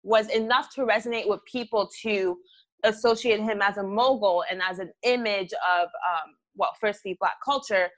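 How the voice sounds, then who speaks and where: A woman's voice: mid-range voice, moderate pace. A woman in her twenties leading a casual video-call discussion, thoughtful and hedging with frequent ums. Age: 20s.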